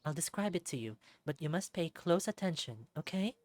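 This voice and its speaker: A narrator voicing a gentle, caring mother fox healer in a visual novel, soft, tender and serious. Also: medium pitch, animated, a little fast, dry recording, pitched above the performer's own voice, lightly acted.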